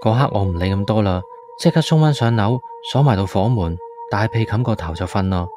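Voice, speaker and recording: A man's voice: lowish voice, animated, a little fast, lightly acted. A man playing a frightened tenant, narrating a horror radio drama in an anxious, suspenseful way. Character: frightened male tenant recounting his haunting. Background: background music.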